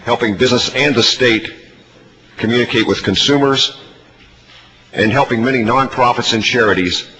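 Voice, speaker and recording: A man giving formal, measured testimony as an industry representative at a public hearing. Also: lowish voice, bright, forceful tone. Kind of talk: formal prepared testimony and answers. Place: large hearing room. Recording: reverberant hall, faint background noise.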